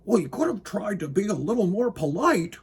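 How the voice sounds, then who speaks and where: Man playing fussy, high-pitched flustered clockmaker. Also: very animated, a little fast, slightly rough, full character voice. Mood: hurt. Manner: exclaiming, plaintive, dramatic. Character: fussy, easily flustered male clockmaker in a storybook.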